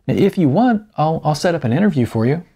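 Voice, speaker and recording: Man voicing an eager young woman, lively intonation. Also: a little high, a little fast, breathy edge, voice pushed up, full character voice. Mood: bright, chatty. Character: earnest, persistent young woman, chatty then probing. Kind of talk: audiobook character dialogue.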